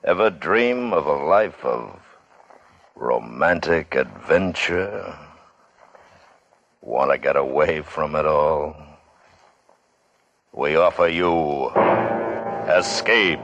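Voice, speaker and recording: A man announcing a vintage 1950s radio drama in a portentous, deliberate, dramatic style. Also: a bass voice, expressive, gravelly, a bit bright. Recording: muffled vintage radio recording with music.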